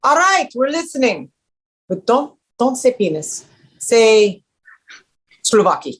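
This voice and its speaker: A woman's voice: a little high, very animated, takes it slowly. A woman acting a stern, devout conservative mother who is easily offended, curt and disapproving, in a comedy table read.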